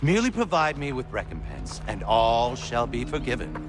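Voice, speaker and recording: A man playing a smug, cruel gentleman villain. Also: medium pitch, sing-song, normal speed, background noise, natural voice. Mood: mocking menace. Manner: silky, mocking, theatrical. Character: cruel, smug aristocratic male villain, middle-aged. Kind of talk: video game cutscene acting.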